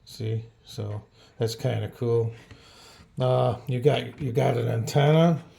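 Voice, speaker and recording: Slow, gravelly, casual man reviewing gadgets. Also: mid-range voice, dark tone. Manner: halting, offhand, plain-spoken. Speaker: product reviewer.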